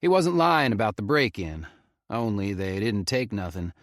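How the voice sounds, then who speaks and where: A man's voice: fairly low pitch, very animated, normal speed, no echo, studio quality. A man voicing a middle-aged male park ranger with a thick Southern drawl, folksy and casual. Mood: confiding.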